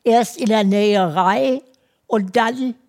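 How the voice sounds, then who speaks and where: Slow-speaking woman in her sixties. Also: mid-range voice, a little mellow. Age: 60s. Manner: simple, plain sentences with short pauses. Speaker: guest, retiree. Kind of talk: interview answers.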